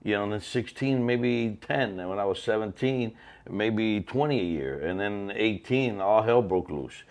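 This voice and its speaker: Guy in his fifties, real low voice, rambles and restarts a lot, chatty interview. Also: moderate pace. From Cuba. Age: 50s. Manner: animated, rambling, many restarts. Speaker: cigar company owner. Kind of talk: casual storytelling interview.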